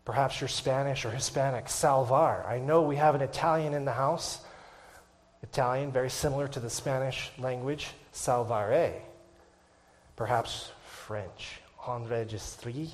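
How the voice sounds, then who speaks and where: A male pastor preaching a sermon to his church, swinging from hushed, whispered lines to loud, impassioned climaxes and closing in prayer. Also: medium pitch, a little slow.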